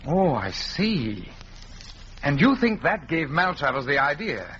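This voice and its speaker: An actor playing a puzzled, good-natured male sidekick to a detective, questioning and husky, in 1940s radio drama style. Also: fairly high pitch, big pitch swings, slow pace, fairly bright tone, a little hiss or hum, full character voice. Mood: surprised. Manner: bewildered, questioning. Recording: muffled old radio recording.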